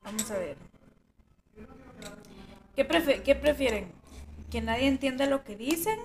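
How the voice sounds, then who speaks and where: A woman's voice: high-pitched, animated, a little slow, slight background noise. A woman with a Guatemalan accent in casual chat with friends, putting questions to them in a game. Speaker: podcast participant reading out questions.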